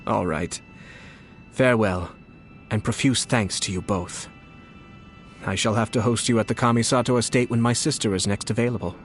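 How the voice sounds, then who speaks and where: An actor playing a composed, shrewd young nobleman in a game, courteous and measured, with polished formal speech and a quietly scheming, teasing air. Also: fairly low pitch, soft background music, natural voice. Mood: gracious, assured. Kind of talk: scripted game dialogue.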